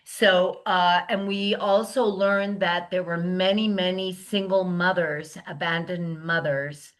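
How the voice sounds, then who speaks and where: Slow, bright-voiced woman searching for words. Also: lowish voice, fairly even intonation. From United States. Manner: slow, searching for words, many fillers and restarts. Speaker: interview guest. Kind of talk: casual interview talk.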